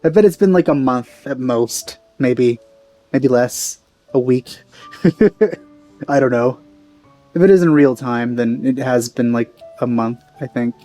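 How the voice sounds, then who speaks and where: A man giving casual gameplay commentary, hesitant and rambling as he thinks out loud. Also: medium pitch, takes it slowly, rough recording. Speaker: let's player. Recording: game music behind voice. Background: video game music.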